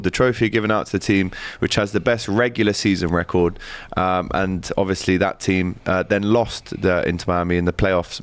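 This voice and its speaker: A man's voice: fairly low pitch, dry recording. A man co-hosting a football chat, upbeat as he runs through lists of teams. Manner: upbeat, reads lists of team names. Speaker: co-host.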